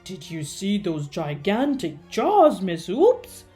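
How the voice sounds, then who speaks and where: A woman's voice: medium pitch, big pitch swings, slow pace, mellow, faint music behind. A woman voicing a cowardly, blustering male sea-creature dentist in a storybook, with a theatrical, stammering, shrieking delivery. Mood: fearful, indignant.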